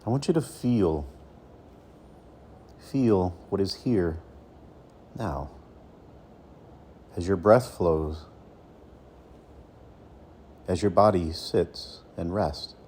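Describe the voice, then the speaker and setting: A man leading a guided meditation as a coach, speaking slowly and soothingly with deliberate pauses between phrases. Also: sits low, darkish tone, slight background noise.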